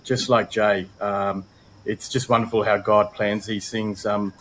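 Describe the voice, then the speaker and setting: A man tells his personal story as an interview guest. His voice is fairly low and very even in pitch, with faint background noise. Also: low-quality recording. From born in Romania, grew up in Australia.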